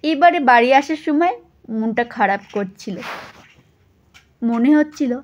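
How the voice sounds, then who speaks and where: A woman's voice: high-pitched, lively intonation, takes it slowly, dull audio. A woman reading a first-person story as scripted audio narration.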